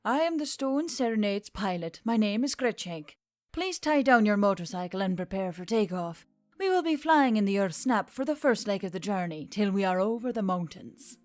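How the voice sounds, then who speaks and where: A woman playing a soft, lilting gorgon pilot. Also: medium pitch, expressive, moderate pace, no room sound, studio quality, faint music behind, lightly acted. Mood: polite, businesslike. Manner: polite, precise, formal.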